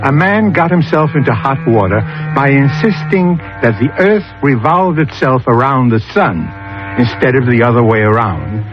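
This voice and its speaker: A theatrical man narrates a vintage radio mystery. His medium-pitched voice rises and falls dramatically, with measured rhetorical phrasing, over music on a lo-fi recording. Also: big pitch swings.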